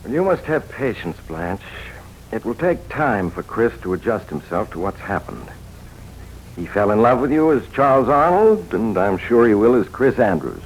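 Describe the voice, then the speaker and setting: An actor playing a kindly, reassuring family doctor in a 1940s radio drama, on an old recording. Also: male voice, lowish voice, very animated, normal speed, slight background noise, poor audio.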